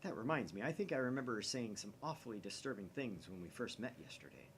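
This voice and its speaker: A man's voice: fairly low pitch, expressive, fast, a bit bright, a little hiss or hum. A man voicing a paranoid, melodramatic young self-styled mad scientist in a visual novel, theatrical and suspicious. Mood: wry inner monologue. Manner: theatrical, suspicious, dramatic whispers and outbursts.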